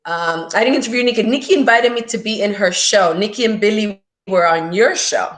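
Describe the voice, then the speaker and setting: A woman's voice: mid-range voice, brisk. A woman in her thirties from the Dominican Republic, a guest on a video-call interview, chatting eagerly with frequent laughs and lots of "like", speaking into earbuds. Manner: enthusiastic, laughs often, fills with "like". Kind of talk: casual interview chat. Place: home room, on a video call. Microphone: wired earbuds with mic.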